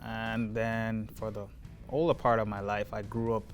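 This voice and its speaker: A man's voice: lowish voice, flat delivery, a little slow, faint music behind. A man who grew up in Jamaica and Minnesota, a police academy recruit, answering interview questions casually.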